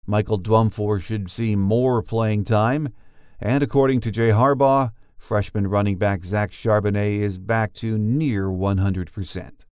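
A man's voice: fairly low pitch, fairly even intonation, a little slow, darkish tone, clear, resonant tone, no echo, clean studio sound. An American man hosting a sports podcast, moving between chat and scripted notes. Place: dry studio.

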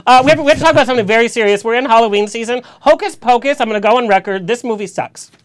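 A man's voice: extremely high pitch, rapid-fire, background noise. Campy, playful man hosting a talk show. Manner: playful, campy, laughs often. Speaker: host. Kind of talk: casual talk show chat. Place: radio studio.

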